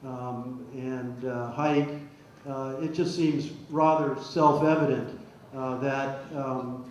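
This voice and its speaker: A man answers questions as a forum panelist in a heavily reverberant hall. His delivery is hesitant and halting, with repeated words. The sound is slightly dull, with faint noise behind it. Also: mid-range voice, darkish tone. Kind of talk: answering audience questions off the cuff. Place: public meeting hall.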